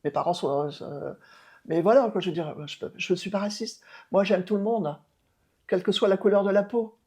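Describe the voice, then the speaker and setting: A woman's voice: medium pitch, moderate pace, mellow, breathy edge. A woman in her seventies, an outgoing mayor, answering interview questions emphatically with many hesitations and restarts. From France. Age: 70s. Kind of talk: local TV interview.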